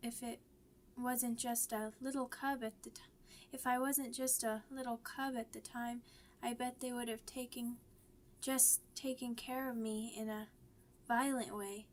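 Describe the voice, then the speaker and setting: Woman voicing a slow, halting young werewolf girl. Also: on the high side, little pitch movement, slight background noise, natural voice. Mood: subdued, wistful. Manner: halting, stammering, childlike phrasing. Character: young orphaned werewolf pup girl, shy, grieving, vulnerable. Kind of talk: ASMR audio roleplay.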